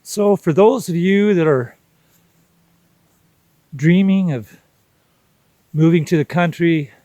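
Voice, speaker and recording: Vlog host: man, 60s, slow contemplative delivery, slightly higher-pitched voice, reflective tone. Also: warm tone, no room sound. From Canada. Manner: unhurried, halting, contemplative, with deliberate pauses. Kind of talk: reflective personal vlog monologue.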